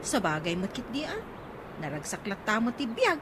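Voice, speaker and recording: A woman playing an excitable, exasperated middle-aged unmarried aunt in a radio comedy drama, with an Ilocano accent and exclamatory delivery. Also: medium pitch, normal speed. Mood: chatty, doubtful. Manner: exclamatory, expressive. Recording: very noisy audio with birds and traffic.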